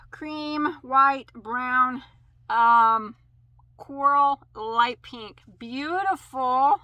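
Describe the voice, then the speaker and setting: A woman's voice: a little high, sharp tone, dry recording, low-quality recording. A woman with a Midwestern American accent hosting a casual yarn haul review, chatty and rambling, often correcting herself. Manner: chatty, rambling, many fillers and self-corrections. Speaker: crochet channel host.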